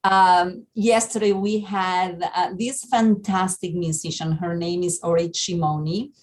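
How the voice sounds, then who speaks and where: Slow-talking woman with an Argentine accent. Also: fairly low pitch, steady tone. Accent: Argentine Spanish. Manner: hesitant, many fillers and restarts. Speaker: festival programming manager. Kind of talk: interview, casual explanation.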